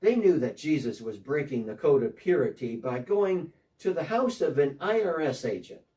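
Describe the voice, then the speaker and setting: A man's voice: a little high, expressive, normal speed, warm tone, far from the mic, natural voice. A man performing a first-person dramatic monologue as a short, eager, repentant tax collector, telling his story earnestly as if on a phone call. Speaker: dramatic reader.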